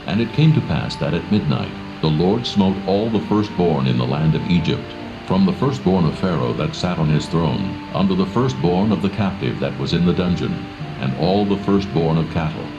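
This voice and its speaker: A man giving solemn scripted scripture narration in a measured, formal manner. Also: low voice, animated. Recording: dull recording with music behind.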